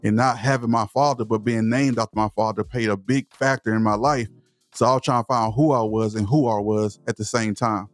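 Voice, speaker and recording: A man's voice: on the low side, fairly even intonation, fairly quick, no echo, faint music behind. A man in his thirties from East Texas with a Southern accent, speaking with conviction and passion as a podcast guest. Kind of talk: podcast interview.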